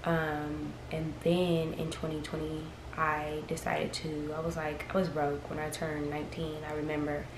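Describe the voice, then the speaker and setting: A young woman in her early twenties talks to camera in a rambling, frank, chatty way. Her voice sits low and stays fairly flat. The room sounds echoey, with a clear hiss of noise behind her. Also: moderate pace, hardly any pauses. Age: early 20s. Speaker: vlogger. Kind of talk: casual chat to camera.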